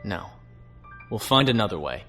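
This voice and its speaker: A man's voice: fairly low pitch, sing-song, normal speed, pushed and bright, faint music behind. A man playing a weary, principled starship captain in a science fiction audio drama, reflective and earnest. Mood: firm, defensive.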